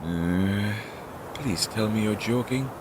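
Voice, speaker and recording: A man playing an anxious, talkative demon crow familiar in an audio roleplay, speaking hesitantly with sighs and chatty asides to his master. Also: takes it slowly. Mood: sheepish. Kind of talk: scripted audio roleplay addressed to the listener. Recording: noisy low-quality home recording.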